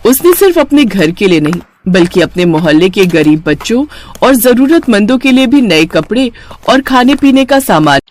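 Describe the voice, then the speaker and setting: A woman's voice: medium pitch, sing-song, normal speed. A woman reading a scripted moral story for children as the storyteller.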